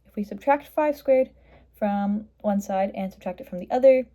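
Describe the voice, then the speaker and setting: A woman's voice: mid-range voice, normal speed, muffled recording. A woman explaining a worked math problem step by step in a matter-of-fact, instructional way, like a tutor.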